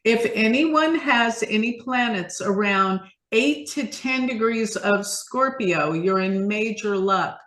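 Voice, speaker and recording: A woman's voice: on the high side, lively intonation, takes it slowly, few pauses, a bit bright. A woman explaining astrology as an interview guest, emphatic and enthusiastic, often posing questions and answering them herself. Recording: roomy, echoing sound.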